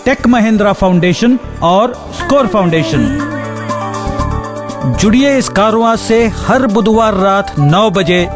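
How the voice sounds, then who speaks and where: Guy with a high voice reading a scripted radio announcement, music clearly behind. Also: slow. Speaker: sponsor announcer.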